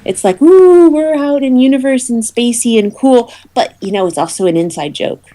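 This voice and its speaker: A woman's voice: fairly high pitch, moderate pace, warm tone. A woman being interviewed as a guest, explaining casually and often hesitating and rephrasing mid-sentence. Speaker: interview guest, awards committee head. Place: remote call into a podcast.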